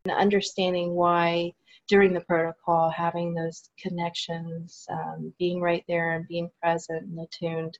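A woman's voice: on the low side, completely flat delivery, measured pace, highs cut off. A woman guest talking earnestly and with enthusiasm, letting her sentences trail off, heard over a remote call. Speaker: guest, support group organizer. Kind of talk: casual interview chat.

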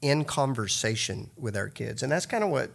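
A man's voice: mid-range voice, expressive, normal speed, gravelly, fairly bright tone. A man who directs a summer camp, plainspoken and encouraging, giving advice to parents. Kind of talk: conversational interview.

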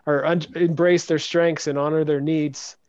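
Soft-spoken man hosting a podcast. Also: fairly high pitch, flat delivery, normal speed, no room sound, highs cut off. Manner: soft-spoken, hesitant with restarts. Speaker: podcast host. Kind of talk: casual interview chat.

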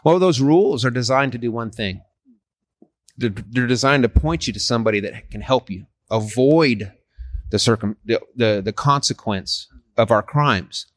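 A man's voice: mid-range voice, moderate pace, no echo. Earnest man in his forties, dry sound. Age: 40s. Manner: earnest and persuasive, frequent restarts. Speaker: street evangelist. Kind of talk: casual street conversation.

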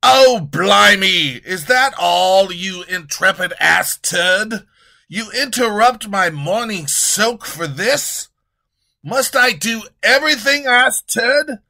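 A man's voice: expressive, slow pace, pushed and bright, exaggerated voice. A man voicing a pompous, lazy, rude king who bellows insults at his servant. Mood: blustering. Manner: bellowing, insulting, shrill when panicked.

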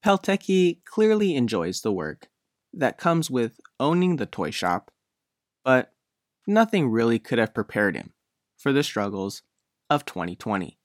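A man's voice: medium pitch, big pitch swings, a little slow, no echo, studio quality. A man working as a reporter, reading a scripted news feature narration.

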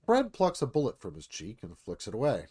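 Male narrator, lively swinging delivery, dramatic reading of stage directions. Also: medium pitch, fairly quick, slightly rough.

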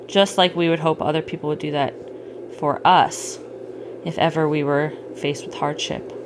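Low-pitched mother explaining, noisy recording. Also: fairly even intonation, moderate pace. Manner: gently explanatory, checks in with questions. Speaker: parent hosting a bedtime story podcast. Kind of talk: casual bedtime chat with a child. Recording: clearly noisy home recording.

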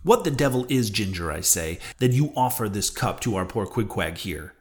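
A man's voice: on the low side, big pitch swings, normal speed. A man voicing an indignant, sarcastic whaling ship's mate in a sea-novel audiobook, emphatic and full of mocking questions. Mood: mocking outrage.

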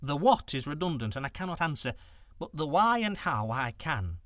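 A man's voice: on the high side, sing-song, normal speed, a bit bright, no room sound, clean studio sound. A man voicing a very old, wise woman who speaks in a prim, scolding, solemn way. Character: hundred-year-old wise woman, stern and sorrowful.

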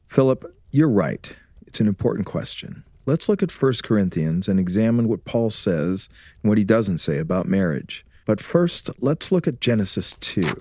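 A man playing a warm, teasing, scholarly husband and father in a radio drama, with a measured, preacherly way of explaining. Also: fairly low pitch, a little hiss or hum. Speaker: actor in an audio drama.

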